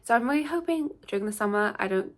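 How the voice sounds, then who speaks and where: A woman's voice: a little high, expressive, fast. A young woman talks in a loose, scattered way, hopping from thought to thought. Her voice sounds stuffy and congested from a lingering cold. She sits at home in a shared house and chats casually to the camera. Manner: chatty, rambling, scattered. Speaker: beauty vlogger. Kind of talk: casual get-ready-with-me chat.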